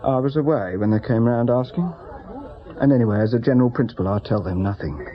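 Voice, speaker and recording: An actor playing a loyal, guarded friend who distrusts the police, in a radio drama, speaking firmly. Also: male voice, mid-range voice, fast, a little hiss or hum, natural voice. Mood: guarded, bitter. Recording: dull sound over chattering background crowd.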